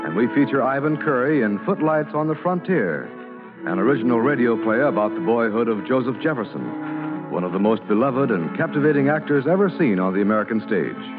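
A man's voice: mid-range voice, big pitch swings, fairly quick. A man announcing in formal, polished 1940s radio style. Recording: tinny vintage radio, music behind.

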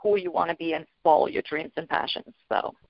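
Middle-aged woman from Bulgaria, hesitant, lots of ums, lowish voice, dull lo-fi sound. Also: little pitch movement, fairly quick, gravelly, no room sound. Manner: hesitant, many ums, laughs softly. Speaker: guest, children's author. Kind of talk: casual interview talk. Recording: dull lo-fi audio.

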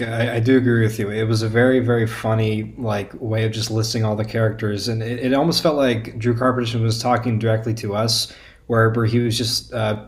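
Mid-pitched man chatting, repeating his words. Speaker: podcast guest. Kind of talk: casual chat.

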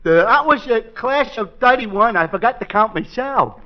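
An actor playing a dim-witted, slow-thinking goofy fool in 1940s radio comedy style. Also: male voice, very high voice, animated. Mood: befuddled. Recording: muffled old radio broadcast.

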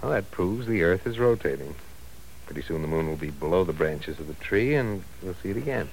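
An actor playing a breezy young husband who talks in an offhand, teasing way, in 1940s radio style. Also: male voice, low-pitched, lively intonation, a little fast, slight background noise, poor audio.

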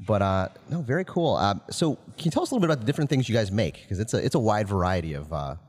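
A man's voice: lowish voice, sing-song, racing, a little mellow, long reverb tail. A man hosting a casual podcast on location inside a distillery, upbeat and loose, with many fillers and restarts. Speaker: podcast host. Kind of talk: casual chat. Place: distillery building interior.

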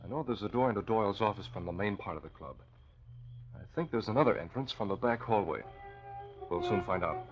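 An actor playing a resolute, cool-headed crime-fighter in a 1940s radio adventure, with measured, decisive delivery. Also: male voice, lowish voice, lively intonation, over music. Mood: hushed, cautious. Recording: dull, noisy old radio recording.

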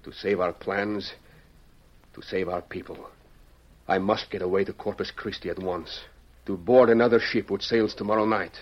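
A man's voice: low voice, steady tone, normal speed. A man playing a proud, courteous fugitive Mexican revolutionary with a Mexican accent, speaking earnestly and formally in an old-time radio drama. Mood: urgent, earnest. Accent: Mexican Spanish. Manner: earnest, formal, theatrical phrasing. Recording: muffled lo-fi vintage radio recording.

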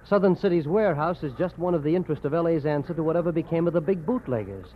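A man's voice: fairly high pitch, expressive, fast, natural voice. A man playing a chatty, knowing newspaper reporter. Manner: chatty and wisecracking. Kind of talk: 1940s radio acting. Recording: dull old radio recording with faint noise.

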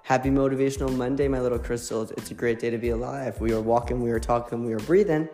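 A man with a Connecticut accent, in his thirties, pumping listeners up in a motivational talk. His delivery is emphatic and animated, and his voice sits at an everyday middle pitch. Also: fast, soft background music. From Connecticut, USA. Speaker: podcast host. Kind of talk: motivational monologue.

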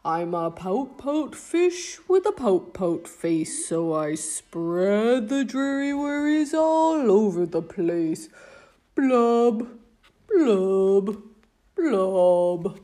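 Woman voicing a gloomy male storybook fish, mopey sing-song chant, loud blubs, mellow tone, slow. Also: medium pitch, very animated. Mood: glum, sing-song.

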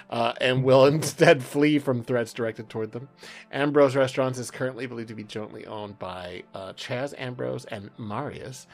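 Casual man hosting a podcast, music behind. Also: medium pitch. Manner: informal, swearing, often laughing. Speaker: podcast host. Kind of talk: casual chat with some reading aloud. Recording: background music under speech.

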